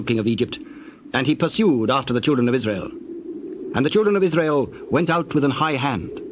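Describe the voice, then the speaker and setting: A man narrating dramatized scripture from a script, telling the story in a measured way. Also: mid-range voice, a little hiss or hum.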